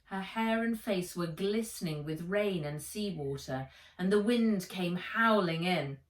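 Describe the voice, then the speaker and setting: A woman narrates a children's audiobook at a very slow, deliberate pace. Her pitch sits a little low, and she reads with expression. The recording is very echoey, as if she is some way from the microphone. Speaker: author reading own book aloud. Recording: roomy echo, distant microphone.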